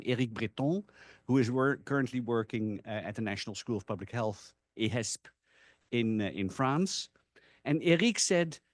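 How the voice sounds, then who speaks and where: A man's voice: mid-range voice, dry recording. Male academic speaker, non-native English, dry sound. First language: not English (English is a third language). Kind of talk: informal academic presentation, personal anecdotes.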